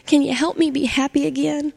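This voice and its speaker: A woman's voice: high-pitched, little pitch movement, brisk, gravelly, a little mellow, voice pushed up. A woman voicing an earnest little boy. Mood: sad pleading. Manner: earnest and pleading. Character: sad remorseful young boy gardener. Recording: long hall reverb.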